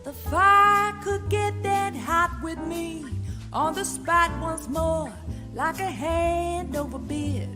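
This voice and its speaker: A woman playing a jazz club singer, singing a slow, wistful ballad in an audio drama. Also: very high-pitched. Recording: reverberant hall, loud musical backing. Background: jazz music.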